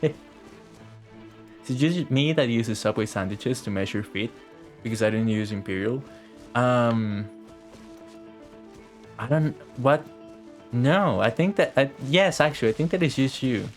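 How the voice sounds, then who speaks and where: Guy from costa rica streaming a game, rambly n jokey, swears a bit, quiet music under. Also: medium pitch. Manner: rambling, joking, swears casually. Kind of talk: casual live stream chat.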